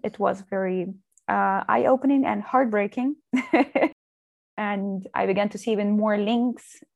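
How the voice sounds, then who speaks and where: A woman from Russia, a guest in a podcast interview who talks in a relaxed way, with fillers and light laughs. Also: mid-range voice, unhurried, gravelly, dry recording, muffled recording. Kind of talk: casual interview chat.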